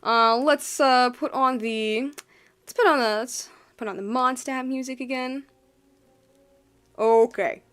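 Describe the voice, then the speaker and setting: A woman's voice: high voice, slow pace, long pauses, a bit bright, clear, resonant tone. A woman giving casual, rambling gameplay commentary, slangy and informal, repeating herself for emphasis. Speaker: video game streamer.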